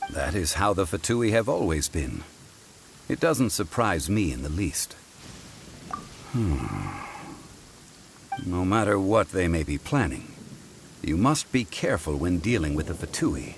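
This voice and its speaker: Wise, dignified ancient scholar, very low voice with wide melodic swings, formal and measured. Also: male voice, big pitch swings, slight background noise, full character voice. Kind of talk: video game voice acting.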